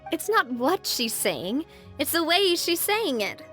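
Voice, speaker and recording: A woman voicing a brisk, organised, playful young female leader in a video game. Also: high-pitched, big pitch swings, pushed and bright, soft background music, exaggerated voice. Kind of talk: game voice acting.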